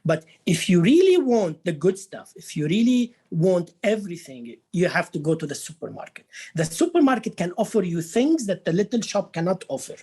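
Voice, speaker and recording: A man with a Beirut Lebanese accent talks on a conference panel in a lively, sing-song way, his pitch climbing and falling. His voice has a husky edge. He restarts phrases and keeps checking in with his listeners. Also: normal speed, few pauses. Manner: animated, repeats words, frequent tag "right?". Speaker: professor of Arabic, panel guest. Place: conference stage.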